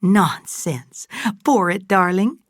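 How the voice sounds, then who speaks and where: A woman's voice: mid-range voice, expressive, slow, dry recording, clean studio sound, pitched above the performer's own voice. A woman reading aloud and voicing a doting, insistent older woman who coaxes and fusses. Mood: coaxing. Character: affectionate older woman, motherly and pushy.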